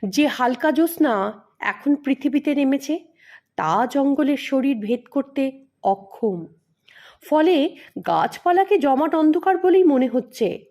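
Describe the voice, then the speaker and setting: A woman's voice: sits high, expressive, a little slow, long pauses, a little mellow. A woman narrating a scripted mystery story as an audio storyteller.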